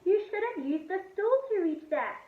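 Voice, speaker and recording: A woman voicing a hurried, scolding storybook mother, in a roomy recording. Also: extremely high pitch, expressive, voice pushed up. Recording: roomy, distant, dull and noisy.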